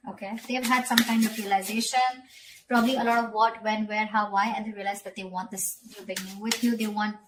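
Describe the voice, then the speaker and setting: A woman's voice: medium pitch, flat delivery, fairly quick, background noise. A woman giving a conversational tarot reading straight to the viewer, often repeating phrases and checking in with short tag questions. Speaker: tarot reader.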